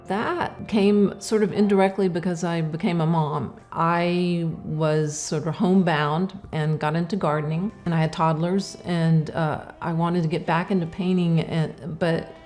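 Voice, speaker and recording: A middle-aged woman, an artist, talking in a casual interview, reflective and halting with many fillers and restarts. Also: fairly low pitch, steady tone, darkish tone, soft background music.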